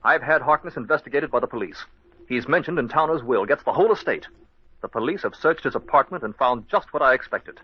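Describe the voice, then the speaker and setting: Earnest radio-drama doctor, muffled old recording. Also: male voice, medium pitch, expressive, breathy edge. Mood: vindicated, explaining. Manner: grave, deliberate, theatrical delivery. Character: earnest, determined hospital doctor turned amateur sleuth.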